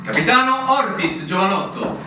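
An actor playing a weary, formal army captain in a literary stage drama, with a theatrical, deliberate, musing delivery. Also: male voice, high-pitched, expressive. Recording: echoey theatre, distant mic, noisy.

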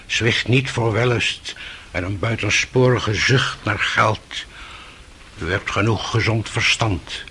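An actor playing a grave, kindly old holy monk in a radio play, speaking in a solemn, measured manner. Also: male voice, on the low side, pushed and bright, a little hiss or hum. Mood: stern, admonishing.

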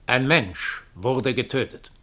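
An uncanny double, a self-confessed murderer bearing a sentence. He speaks at a medium pitch, solemn and implacable, with measured declamation. It is 1960s German radio drama acting. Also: fairly bright tone.

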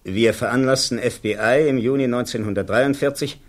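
A self-assured army colonel played by a male actor gives testimony in a radio drama. His pitch sits in the middle, and his answers are blunt, factual and confident. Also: fairly quick, close to an everyday voice. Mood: matter-of-fact. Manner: matter-of-fact, clipped, confident answers. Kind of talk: radio play acting, courtroom testimony.

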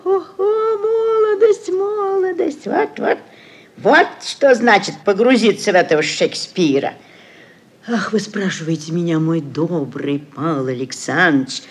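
A woman's voice: medium pitch, husky, exaggerated voice. An actress playing a scheming, sugary middle-aged society mother in a classic radio play, cajoling and declaiming theatrically. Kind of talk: classic Russian literary radio play acting.

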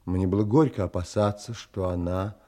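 An actor playing a pensive, tender gentleman who narrates his own story in an audio play, measured and reflective. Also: low-pitched, steady tone, close to an everyday voice.

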